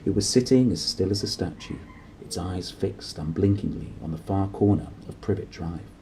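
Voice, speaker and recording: A man narrating a children's storybook aloud in an expressive style. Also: deep voice, moderate pace, a little hiss or hum, poor audio. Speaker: storybook reader.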